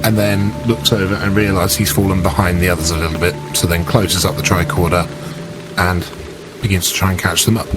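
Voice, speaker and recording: A man's voice: deep voice, fairly even intonation, fairly quick. A man playing a tabletop roleplaying game, casually describing what his character does. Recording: very loud music and rain behind. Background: music, rain sound effects.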